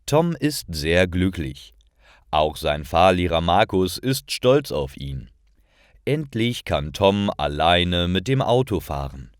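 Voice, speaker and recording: A man reading a scripted story for language learners, with careful, clearly separated phrasing. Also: low-pitched, big pitch swings, takes it slowly, no room sound, studio quality. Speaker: narrator of language-learning stories.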